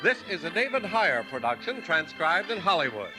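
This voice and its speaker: A man announcing credits and sponsor copy in a booming, upbeat 1950s radio style. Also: very animated, normal speed. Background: theme music.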